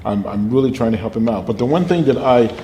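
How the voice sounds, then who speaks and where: An older man, an actor and drama teacher, telling animated stories from his life in an interview with a booming voice. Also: fast talker, dull audio. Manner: animated storyteller, laughs often. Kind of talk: interview storytelling. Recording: roomy with faint noise.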